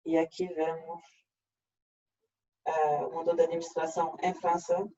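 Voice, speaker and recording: A man with a French accent, native French speaker, presenting an online workshop in a halting way with frequent restarts, over a video call. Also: on the high side, completely flat delivery, mellow, a little hiss or hum. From France. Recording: dull lo-fi video call audio.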